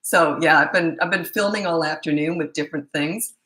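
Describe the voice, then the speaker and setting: Middle-aged woman, parenting coach on a live stream, voice going hoarse, bright and forceful tone in an echoey room. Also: low-pitched, brisk. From Canada. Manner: direct and no-nonsense, with frequent fillers and restarts. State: hoarse, losing her voice. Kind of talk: live-stream Q&A answering viewer comments.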